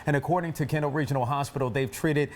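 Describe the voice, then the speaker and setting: A man's voice: a little high, steady tone, fast, a little hiss or hum. A middle-aged man anchoring TV news in a studio with scripted delivery.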